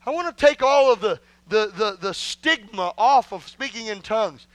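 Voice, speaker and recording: A male pastor preaching a sermon to his church, emphatic, with folksy humor and bursts of shouting. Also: high-pitched, expressive, normal speed, clean tone, no room sound. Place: church sanctuary.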